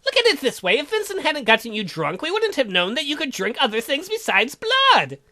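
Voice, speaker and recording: Cheeky, high-pitched potion-brewing uncle played by a woman. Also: wildly swooping pitch, fairly quick, sharp tone, full character voice. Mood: cheeky, animated. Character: eccentric, cheeky uncle who brews potions.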